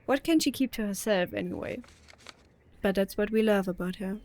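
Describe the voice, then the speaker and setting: A woman's voice: medium pitch, moderate pace, darkish tone, lightly acted. A woman playing a teenage daughter in an audio drama, with a thoughtful, mildly teasing manner. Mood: pondering.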